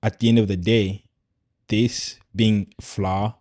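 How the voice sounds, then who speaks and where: A man who speaks Swahili natively, hosting a podcast in a loose, halting, conversational way. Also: on the low side, flat delivery, a little mellow, no echo, studio quality. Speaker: podcast host. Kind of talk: casual conversational podcast chat.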